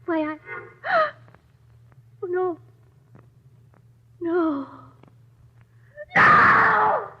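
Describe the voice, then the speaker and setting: An actress playing a high-strung, frightened married woman in old-time radio drama style, faltering and pleading. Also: big pitch swings, extremely slow, creaky, pauses often, raised voice, heavily acted. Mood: terrified. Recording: muffled, hissy old radio recording.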